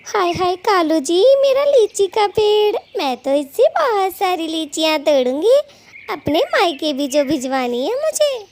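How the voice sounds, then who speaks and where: A woman's voice: very high-pitched, big pitch swings, a little slow, darkish tone, exaggerated voice. A woman voicing a greedy, lazy female thief bird in a children's cartoon, coy and melodramatic. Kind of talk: children's cartoon story acting.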